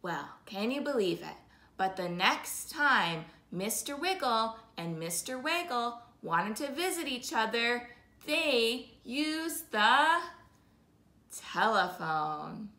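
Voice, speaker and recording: Animated, sing-song woman storyteller. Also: fairly high pitch. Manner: slow, sing-song, repetitive rhythmic phrasing with drawn-out words. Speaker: children's storytime host. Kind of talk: animated oral storytelling for young children. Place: living room, indoors. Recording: roomy indoor echo.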